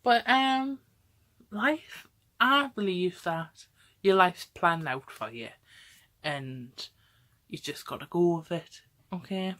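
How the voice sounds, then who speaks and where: A young woman in her twenties chatting straight to camera. Her voice sits low for a woman, and she rambles freely, tossing in quick "okay?" checks and little laughs as she goes. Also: animated, fairly bright tone. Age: 20s. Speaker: vlogger. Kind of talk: casual talk to camera.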